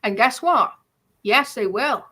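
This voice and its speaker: A woman's voice: on the high side, animated, slow, sharp tone, breathy edge. A woman in casual debate, speaking insistently and repeating her phrases.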